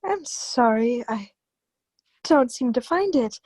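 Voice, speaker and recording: A woman acts out a proper, self-righteous jury forewoman in a radio drama. Her voice is fairly high and closely recorded with a dry sound, and her delivery is formal. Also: expressive, takes it slowly, a little mellow, no room sound. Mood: flustered. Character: guilty, self-righteous society woman serving as jury foreman. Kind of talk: radio play acting.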